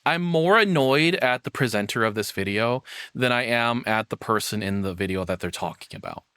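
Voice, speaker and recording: A man's voice: medium pitch, lively intonation, normal speed, pushed and bright, clear, resonant tone, no room sound. Male streamer, mid-range voice, casual and rambling off-the-cuff reactions, very dry sound. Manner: rambling, self-questioning, casual swearing. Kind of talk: unscripted live reaction commentary.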